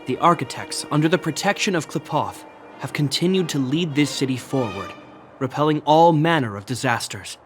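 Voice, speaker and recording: A man's voice: fairly high pitch, moderate pace, faint music behind, natural voice. A man voicing a dutiful, formal guard captain in a fantasy video game. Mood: solemn, proud. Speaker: city guard officer character. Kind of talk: dramatic game voice acting.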